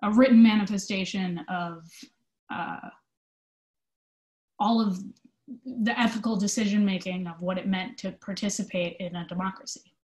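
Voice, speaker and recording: Casual mid-pitched woman being interviewed. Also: steady tone, moderate pace, long pauses, darkish tone. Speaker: theatre company manager, interview guest. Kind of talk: conversational interview answers.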